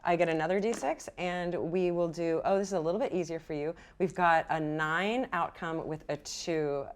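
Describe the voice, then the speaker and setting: A woman's voice: on the low side, moderate pace. Female game master, playful and encouraging, improvising scenes and explaining dice rules. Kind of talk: improvised tabletop game narration and rules talk.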